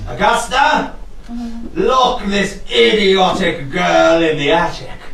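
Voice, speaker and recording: A man acts a blustering, pompous father from a Victorian stage farce. His voice is high for a man and he declaims very slowly in outrage. It is caught from far off in a very echoey hall. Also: animated, vocal fry, noisy background, heavily acted. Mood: outraged, blustering. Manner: pompous, declamatory, speaks in rhyme. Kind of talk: comic costume stage melodrama.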